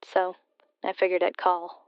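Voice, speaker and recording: Woman acting bereaved caller, hesitant, smooth voice, flat subdued delivery, muffled band-limited recording. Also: on the low side, measured pace, no room sound. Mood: subdued grief. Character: grieving, hesitant daughter phoning a late-night radio show. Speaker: caller to a radio show. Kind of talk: confessional storytelling in radio drama.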